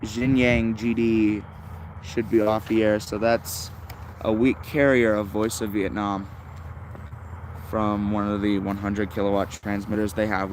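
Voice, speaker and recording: A man's voice: on the low side, slight background noise. A man giving casual, unscripted hobbyist commentary as a livestream host, rambling and thinking aloud. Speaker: shortwave radio livestream host.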